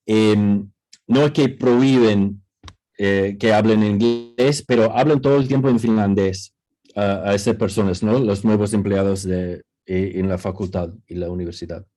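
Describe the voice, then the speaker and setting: A man's voice: medium pitch, dark tone, low-quality recording. Hesitant man on a video call. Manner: hesitant, many restarts and fillers. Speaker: co-author speaking at a book presentation. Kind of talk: casual panel talk.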